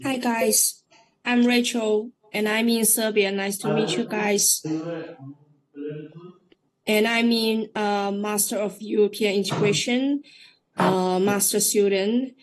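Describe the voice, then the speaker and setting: Woman, student, unhurried, hesitant, video call. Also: medium pitch, steady tone, rough recording. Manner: hesitant, unsure. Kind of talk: class introduction.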